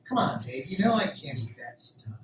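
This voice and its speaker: A man's voice: medium pitch, big pitch swings, normal speed, lightly acted. A man playing an earnest, uneasy farm worker in a radio drama, plain-spoken and a little hesitant. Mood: concerned. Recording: roomy, distant, faint noise.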